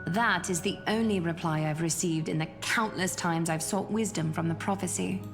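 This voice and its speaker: A woman's voice: fairly low pitch, gravelly. An actress playing a grave, poised woman in a fantasy game, speaking formally and poetically, with wistful elegance. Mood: solemn, wistful. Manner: measured, formal, poetic delivery. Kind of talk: scripted game voice acting. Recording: long reverb, music behind. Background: game music.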